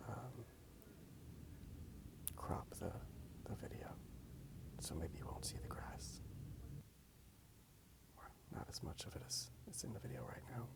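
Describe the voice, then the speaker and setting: A man speaks in a half-whispered ASMR murmur with heavy vocal fry. He rambles hesitantly, often trailing off, as he hosts a casual drawing video outdoors on a handheld phone. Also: mid-range voice. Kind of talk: soft-spoken ASMR rambling. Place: outdoors in a park.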